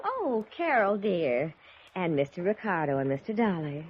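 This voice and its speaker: An actress playing a grandiose fraudulent spirit medium in a lofty, theatrical 1950s radio drama style. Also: female voice, on the low side, very animated, moderate pace, slight background noise. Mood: gracious, lofty. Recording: muffled old radio recording.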